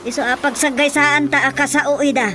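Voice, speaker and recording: Actress as exclaiming village busybody over music. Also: female voice, high-pitched, steady tone, fairly quick, close to an everyday voice. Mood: gossipy. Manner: exclamatory, full of interjections. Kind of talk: Ilocano radio comedy drama acting.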